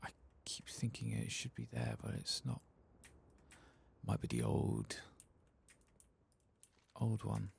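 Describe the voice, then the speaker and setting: A man giving hushed, casual ASMR commentary over a video game in a soft murmur. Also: on the low side, sing-song, slow, gravelly, long pauses, breathy edge. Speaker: gaming ASMR streamer. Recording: faint background noise.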